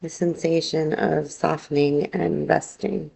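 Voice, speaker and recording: Slow, creaky-voiced woman guiding relaxation. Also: sits low, poor audio. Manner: calm, unhurried, gently instructive, with long phrasing. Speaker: yoga nidra teacher. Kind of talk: guided relaxation meditation, slow instruction.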